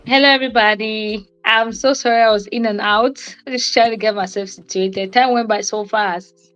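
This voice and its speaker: A woman's voice: fairly high pitch, fairly even intonation, normal speed, fairly bright tone, smooth, dull audio. A young adult woman giving an informal motivational talk on a livestream, conversational and repeating phrases for emphasis. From Cameroon. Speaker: co-host and guest speaker on a livestream.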